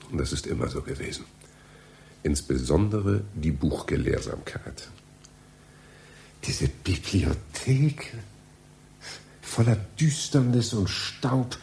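An actor in a German satirical radio play playing a hesitant, polite, pedantic library visitor, formal and easily flustered. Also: male voice, sits low, creaky, a little mellow, slight background noise, full character voice. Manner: halting, flustered, formal, musing pompously when alone.

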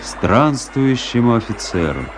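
An actor playing a wry, melancholy army officer who narrates his story in a measured, reflective radio-play style. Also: medium pitch, sing-song, noisy background. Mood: resigned, detached. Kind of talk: dramatic literary radio narration.

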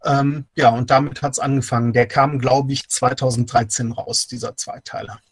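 A middle-aged man, chatting casually as an interview guest, halting with many filled pauses. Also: medium pitch, little pitch movement. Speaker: interview guest, audio drama writer.

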